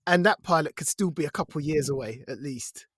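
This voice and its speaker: Higher-voiced man podcasting, dry close sound. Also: a little fast, slightly rough, dry recording. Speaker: podcast co-host. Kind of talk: casual banter and news chat.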